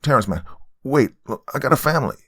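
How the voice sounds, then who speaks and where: A man's voice: mid-range voice, very animated, quick pace, a bit bright, breathy edge, dry recording, clean studio sound, voice pushed up. A man playing a desperate, hot-tempered father who pleads and bullies, in a dramatic audio story.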